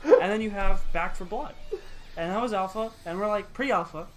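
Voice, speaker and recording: Higher-pitched man chatting over music. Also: moderate pace, low-quality recording. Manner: informal, conversational. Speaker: podcast co-host. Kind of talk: casual chat about video games. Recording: clear music behind the talk. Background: background music.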